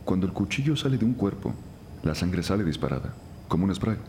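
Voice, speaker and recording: Methodical forensic investigator, very deep voice, quick pace, crime drama, faint noise. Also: male voice, big pitch swings, mellow. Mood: analytical, urgent. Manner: explanatory, methodical. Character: brisk, analytical male forensic investigator. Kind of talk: scripted crime audio drama.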